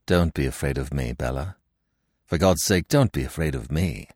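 A man voicing an earnest, lovestruck young gentleman with an intense manner in an audiobook. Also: low voice, big pitch swings, normal speed, dry recording, studio quality, heavily acted. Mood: soothing. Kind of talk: audiobook character dialogue.

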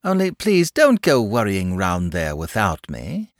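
A man voicing a calm, bookish, self-assured young boy in a storybook, explaining things in a patient, matter-of-fact know-it-all way. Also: fairly low pitch, measured pace, dry recording, clean studio sound, exaggerated voice. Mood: assured, unruffled. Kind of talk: storybook character voice.

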